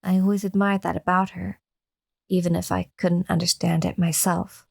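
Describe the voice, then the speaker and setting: A woman's voice: fairly low pitch, level tone, normal speed, vocal fry, a little mellow. A woman playing a frail, fearful captive lover who narrates her torment in a horror audio drama. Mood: wistful, resigned.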